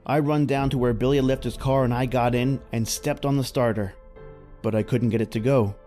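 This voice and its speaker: A man acts out a plain-spoken middle-aged roughneck in an old-time radio horror play. He talks in folksy slang at a medium pitch and hesitates as he recalls dark events. Music runs underneath. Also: lightly acted.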